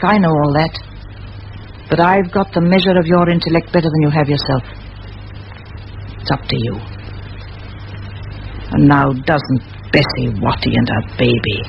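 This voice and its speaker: Commanding spinster schoolteacher, muffled old radio. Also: female voice, deep voice, creaky. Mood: earnest, impassioned. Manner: firm, measured, eloquent rhetoric. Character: determined, commanding spinster schoolteacher, middle-aged. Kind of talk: vintage radio play acting. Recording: muffled old radio recording, faint hiss.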